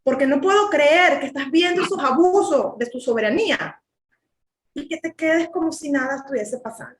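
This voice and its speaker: Venezuelan woman commentator, high-pitched, emphatic and indignant, echoey room. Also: normal speed. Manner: indignant, emphatic, repeats words when worked up. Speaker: political commentator. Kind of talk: heated political commentary.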